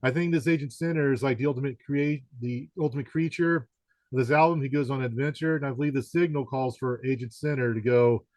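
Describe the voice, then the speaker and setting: A man speaks as a podcast interviewer with an average-pitched voice in a very dry recording. He talks conversationally and wanders through his thoughts, often feeling his way toward a question. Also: fairly even intonation, fast talker, darkish tone, no room sound.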